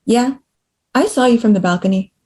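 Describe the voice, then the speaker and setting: A woman's voice: fairly high pitch, moderate pace, mellow, close to an everyday voice. A woman playing an entitled, demanding rich client of an escort, blunt and matter-of-fact, in a table read. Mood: impatient.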